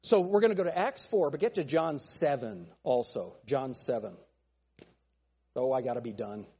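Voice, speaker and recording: A male pastor preaching a fiery sermon with a thin, nasal voice, pressing his points with forceful, repeated phrases. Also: medium pitch, moderate pace, breathy edge, in a large hall. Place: church sanctuary.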